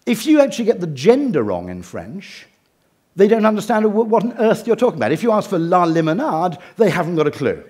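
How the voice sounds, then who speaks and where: A man's voice: high voice, big pitch swings, a little fast, a little mellow, slightly rough. A middle-aged English man giving a humorous talk, with dry wit and ironic asides. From England. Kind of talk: humorous lecture.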